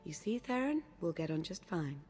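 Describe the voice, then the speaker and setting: A woman playing a shrewd, composed female dark-order agent in a video game, formal and courteous. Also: fairly low pitch, big pitch swings, moderate pace, breathy edge. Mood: smooth, amused. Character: poised, shrewd female Sith Lord intelligence agent. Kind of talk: video game cutscene acting.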